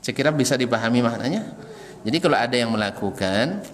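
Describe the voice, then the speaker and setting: Animated male preacher in an echoing hall. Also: mid-range voice, fast talker. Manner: animated, rhetorical questions, chuckles at his own examples. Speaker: Islamic preacher and religious teacher. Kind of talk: religious lecture to a congregation, with humorous asides. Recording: reverberant hall, some background noise.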